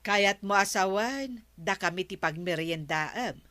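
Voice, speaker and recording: Bright-voiced, gossipy Ilocano village woman. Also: mid-range voice, expressive, measured pace. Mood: teasing. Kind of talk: Ilocano radio comedy drama acting.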